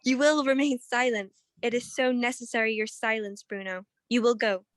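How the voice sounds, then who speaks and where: A woman's voice: a little high, steady tone, moderate pace, noisy background, natural voice. A woman playing a mysterious fortune-teller in a detective radio play, commanding, formal and portentous. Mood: imperious, ominous. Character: imperious, mysterious fortune-teller madam, coldly ominous.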